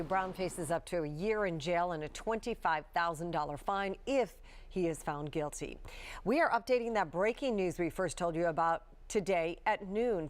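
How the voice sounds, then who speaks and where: News anchor, female, American, lower register, scripted broadcast read.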